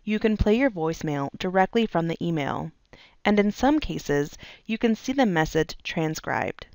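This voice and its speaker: Creaky-voiced woman narrating a tutorial. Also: fairly low pitch, expressive, a little mellow, dry recording. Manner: clear, measured step-by-step delivery. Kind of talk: scripted instructional narration.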